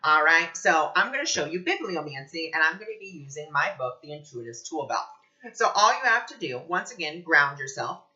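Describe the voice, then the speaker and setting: A man's voice: fairly high pitch, sing-song, normal speed, bright, forceful tone, muffled recording. A man talking in a casual spiritual vlog as a psychic reader, gushing and enthusiastic.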